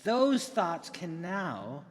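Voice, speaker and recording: A man's voice: high-pitched, sing-song, full character voice. Man playing a showy tech keynote presenter in reverberant hall. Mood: explaining, enthusiastic. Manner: theatrical, emphatic, with dramatic pauses. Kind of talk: keynote speech in amateur radio drama.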